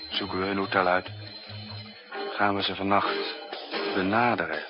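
Man acting a low, wary secret agent. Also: flat delivery, normal speed, natural voice. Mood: watchful. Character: wary, impatient male secret-police agent shadowing suspects. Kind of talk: 1960s Dutch radio drama acting. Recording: muffled 1960s radio recording with music.

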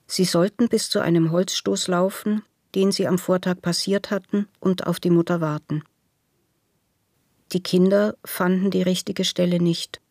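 A woman's voice: on the low side, darkish tone, no room sound, studio quality. A woman reading a scripted literary narration in a sober, factual storytelling manner.

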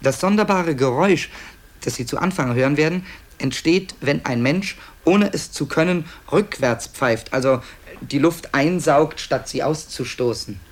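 A man's voice: a little high, expressive, a little slow. A man narrating a radio play in a conversational way, musing and hesitating, with wry asides. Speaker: author-narrator presenting tapes to listeners.

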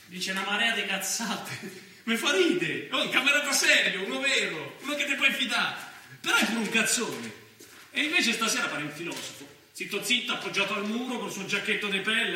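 An actor playing a crude, restless young neo-fascist with a Roman accent in a theatrical monologue, foul-mouthed and slangy. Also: male voice, sits high, sharp tone, heavily acted. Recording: echoey room from far off, faint noise.

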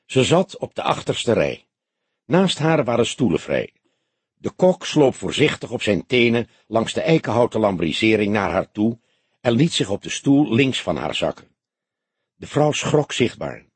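A man narrating an audiobook in a measured, expressive literary storytelling style. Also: mid-range voice, husky, no echo.

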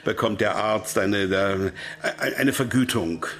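An actor in a radio drama playing an evasive, anxious pharmaceutical executive held captive, speaking in a halting, explanatory way. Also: male voice, lowish voice, fairly bright tone. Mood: guarded, explaining. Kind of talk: naturalistic radio drama acting.

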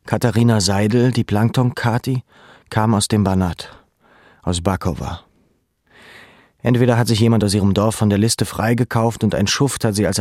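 An actor playing a former camp inmate who narrates a literary memoir in a calm, measured, matter-of-fact way. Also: male voice, deep voice, dry recording, clean studio sound. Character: male former camp inmate, sober, reflective storyteller. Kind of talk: literary first-person narration.

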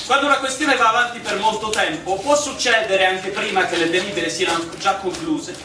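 An echoey room recording of a man playing a pompous village mayor in a radio drama. His high voice declaims stubbornly and dismissively, some distance from the mic. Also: moderate pace, close to an everyday voice. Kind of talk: stage-style radio drama acting.

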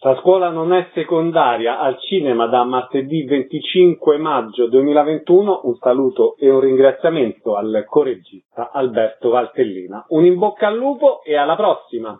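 A man presents a radio interview program. His voice sits at an average pitch, and he delivers prepared introductions and questions. The sound is dull and lo-fi. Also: expressive, moderate pace, hardly any pauses, breathy edge. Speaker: radio host interviewing guests.

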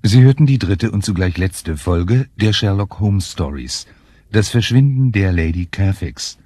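A man reads scripted radio credits as an announcer. His low, mellow voice is slightly husky, recorded in a dry studio sound. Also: lively intonation, a little slow, dry recording.

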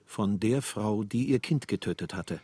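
Grave townsman in a radio drama, husky lowish voice, measured witness narration. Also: warm tone, no echo. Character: grave male townsman, one of a chorus of witnesses.

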